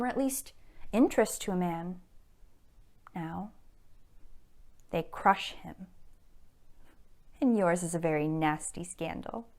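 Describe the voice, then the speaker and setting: A woman playing a cunning, elegant society blackmailer in a period stage monologue, arch, mocking and theatrically emphatic. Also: mid-range voice.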